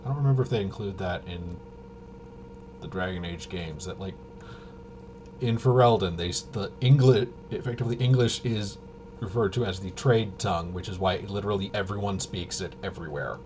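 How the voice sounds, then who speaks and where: A man with a fairly low voice talks casually through a tabletop game walkthrough. He thinks aloud haltingly, often muttering to himself as he goes. Clear background noise sits behind his voice. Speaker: host of a tabletop RPG video. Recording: noisy room, clearly audible background noise.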